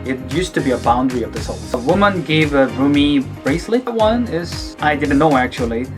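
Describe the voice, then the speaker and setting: A man's voice: fairly high pitch, animated, a little fast. A man from South Korea with a Korean accent, a native Korean speaker, gives casual explanatory commentary as a video host, restarting his sentences often. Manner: explanatory, with frequent restarts and filler words. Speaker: language teacher and video host. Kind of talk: casual commentary over film clips. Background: background music.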